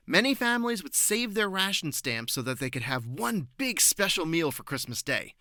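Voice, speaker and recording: A man giving prepared, articulate answers as an interview guest, informative and measured in his phrasing. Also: fairly high pitch, pushed and bright, no room sound, studio quality.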